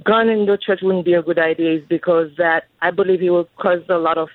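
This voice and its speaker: A woman's voice: fairly low pitch, steady tone, a little fast, dry recording. A woman from Haiti calling in to a radio show, speaking earnestly with some hesitant fillers, heard over a phone line. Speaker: caller. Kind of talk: call-in radio comment.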